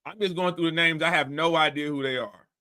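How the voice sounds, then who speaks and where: Man, high voice, bright tone, blunt, animated casual commentary. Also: a little fast. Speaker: host.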